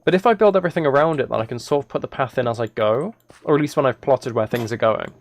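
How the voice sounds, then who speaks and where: Guy streaming a game, bit of vocal fry, chatting casually and second guessing himself out loud. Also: mid-range voice, quick pace, no room sound. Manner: thinking aloud, self-correcting. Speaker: live streamer. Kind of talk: casual gaming livestream commentary, chatting with viewers.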